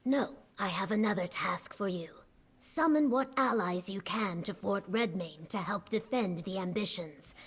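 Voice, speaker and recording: Husky, steadfast lizard-folk commander. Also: female voice, medium pitch. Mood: grave, resolute. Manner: measured, solemn, formal. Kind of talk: video game character acting.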